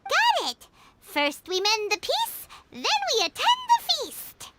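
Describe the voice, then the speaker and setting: A woman voicing a tiny, excitable fairy girl companion in a video game, squeaky and childlike with a sing-song delivery. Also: takes it slowly, noisy background, heavily acted. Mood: excited. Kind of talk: video game character voice acting.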